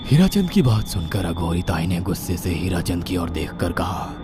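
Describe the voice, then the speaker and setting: A man's voice: deep voice, sing-song, normal speed. A man narrating a scripted horror story dramatically, with music playing behind him. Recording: loud background music.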